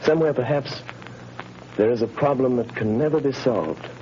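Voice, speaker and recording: An actor playing a suave, earnest gentleman crime fighter in a 1930s radio drama. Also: medium pitch, normal speed, a little hiss or hum, natural voice. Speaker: lead character, wealthy crime fighter. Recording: muffled 1930s radio broadcast.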